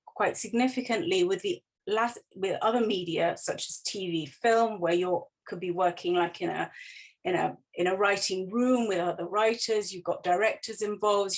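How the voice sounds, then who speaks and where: A woman speaks as a writer explaining her craft in an online presentation. Her pitch sits in a middle range for a woman. The phrasing is conversational and loosely structured. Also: low-quality recording. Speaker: writer giving a talk. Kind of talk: informal explanatory presentation over slides. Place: online video call.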